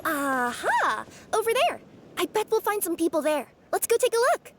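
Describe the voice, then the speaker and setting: A woman voicing a feisty, blunt but helpful female cat courier in animated game dialogue. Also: extremely high pitch, moderate pace, slight background noise, full character voice. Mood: curious, upbeat.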